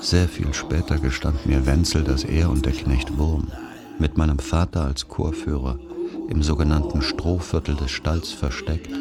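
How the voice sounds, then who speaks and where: A man's voice: rumbling low, steady tone, unhurried, a loud crowd. A man narrating literary, scripted childhood memories for a radio play.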